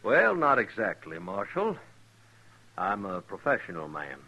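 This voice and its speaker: Bright, courtly frontier doctor. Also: male voice, fairly low pitch, wildly swooping pitch, measured pace, lo-fi sound, exaggerated voice. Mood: genial, ingratiating. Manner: courtly, ingratiating, formal. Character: genial, smooth-talking newcomer doctor in frontier town. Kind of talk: 1950s radio western acting.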